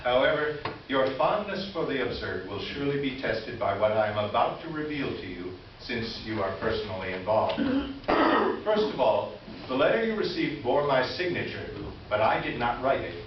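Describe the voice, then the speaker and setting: A man playing an earnest, wronged store employee near retirement, reading a formal confessional letter in reader's theater with deliberate delivery. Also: mid-range voice, animated, lightly acted. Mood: earnest, aggrieved. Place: indoor live stage presentation. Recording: echoey room, distant mic, muffled, noisy.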